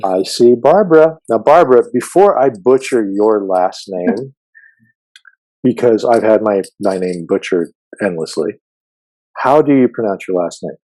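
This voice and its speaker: A man's voice: fairly low pitch, lively intonation, measured pace, mellow, dry recording, rough recording. A man whose first language is Farsi, hosting a casual interview in a chatty, joking way with many fillers. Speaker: podcast host.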